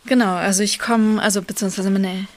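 A young woman from Russia, Russian her first language, talks as an interview guest. She speaks in a halting, searching way, pausing and filling gaps often. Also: medium pitch, steady tone. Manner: hesitant, many fillers. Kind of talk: casual interview chat.

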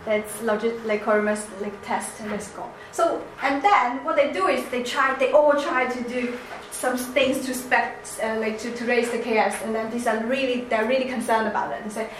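A woman with a Chinese accent giving a seminar presentation, halting with many restarts and fillers. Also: a little high. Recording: echoey room, faint background noise.

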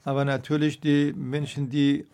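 A man's voice: a little high, monotone, moderate pace, darkish tone, smooth. A middle-aged man with a Persian accent, a doctor answering radio interview questions hesitantly, searching for his words. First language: Persian (Dari).

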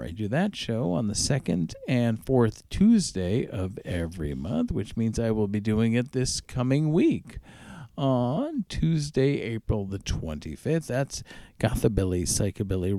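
A male radio DJ talks through the tracks he has played on his music show. His voice is mellow with some gravelly fry and a lively, moving pitch. He goes haltingly, stretching out titles, in a close, dry sound. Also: dry recording.

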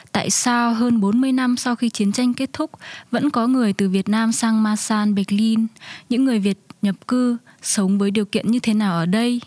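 A woman's voice: fairly high pitch, a little mellow, no echo. A woman co-hosting a radio magazine from a script.

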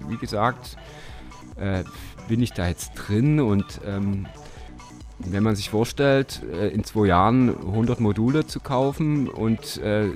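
A man's voice: on the low side, a little mellow. A man chats loosely in his living room, hesitating and filling gaps as he thinks. He laughs easily between thoughts. Faint music plays in the background. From Dresden, Germany. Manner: hesitant, many fillers, laughs often. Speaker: interview guest, modular synth hobbyist. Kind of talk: casual interview chat.

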